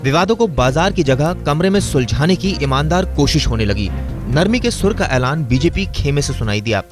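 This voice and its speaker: A man narrating a television news report in a measured, rhetorical way. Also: a little high, expressive. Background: music bed.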